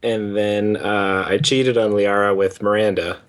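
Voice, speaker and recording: A man's voice: fairly low pitch, fairly even intonation, measured pace, low-quality recording. A young man with a Canadian accent co-hosting a casual gaming podcast, laid-back and rambling. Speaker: podcast co-host. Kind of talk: casual chat.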